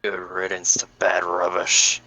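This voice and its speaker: A man playing taunting, wry older cartoon men with a rough voice in a table read. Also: sits low, completely flat delivery, unhurried, pushed and bright, no echo, faint music behind, heavily acted.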